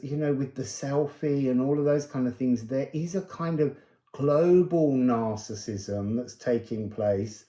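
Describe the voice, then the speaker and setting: Man, slightly high voice, soft mellow tone, musing oracle card reader, unscripted, roomy echo. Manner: musing, meandering, drifting between thoughts. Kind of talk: unscripted spiritual card reading.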